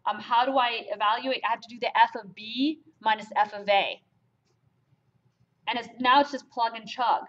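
A woman's voice: fairly high pitch, fairly even intonation, moderate pace, fairly bright tone. A woman from Germany teaching a math class, talking emphatically and asking the students rhetorical questions. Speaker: math lecturer. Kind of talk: classroom lecture. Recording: lo-fi lecture capture.

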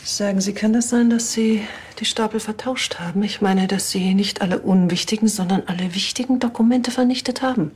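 A woman's voice: medium pitch, a little fast, few pauses, darkish tone, slight background noise, lightly acted. An actress playing a stern, sarcastic female police superior with a cutting manner in a TV crime drama.

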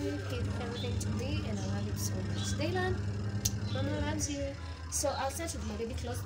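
A woman's voice: on the high side, very noisy. A woman with a Kenyan accent, in her thirties, chatting casually as she explains each step of a laundry how-to in a small home laundry room, slipping in Swahili phrases. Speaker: vlogger.

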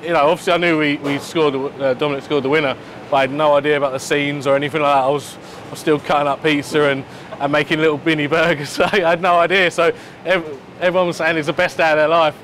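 Chef chatting over background noise. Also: male voice, fairly high pitch, little pitch movement, fast, few pauses, a bit bright. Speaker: head chef at a football club. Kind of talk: casual explanatory chat to camera. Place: indoors, club kitchen and dressing room.

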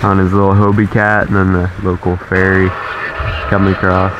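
A young man in his twenties chats through his day on a sailboat, relaxed and easy. His voice sits slightly low. Heavy wind noise batters the camera mic the whole time, with water rushing behind. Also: muffled recording. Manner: relaxed, chatty, narrating to camera. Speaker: vlogger. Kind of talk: casual vlog narration. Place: on a sailboat deck outdoors at sea.

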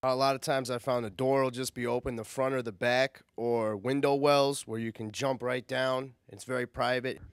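A man in his twenties answering calmly and plainly into a handheld mic. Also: medium pitch, normal speed, hardly any pauses, clear, resonant tone, no room sound, studio quality. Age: 20s. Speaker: inmate speaker. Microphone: handheld microphone.

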